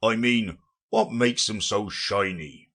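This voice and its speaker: A man voicing a solemn, impatient male gryphon, speaking slowly in a deep, husky voice. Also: fairly bright tone. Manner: slow and solemn, turning impatient.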